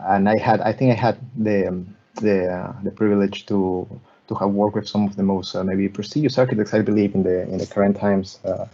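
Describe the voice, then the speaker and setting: A man, giving an online lecture as a guest architect, talking haltingly with many restarts and repeated words. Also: fairly low pitch, mellow. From Peru. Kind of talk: online lecture presenting slides. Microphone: video call. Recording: muffled video call audio.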